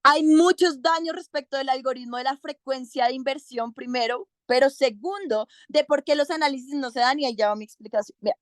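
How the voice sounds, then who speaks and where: A young woman argues her side in a debate, her voice sitting high and bright. She drives hard through a list of numbered points. The sound is close and dry, with almost no room around it. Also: a little fast, hardly any pauses, dry recording. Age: young adult. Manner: emphatic, counts points off one by one. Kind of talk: competitive debate speech.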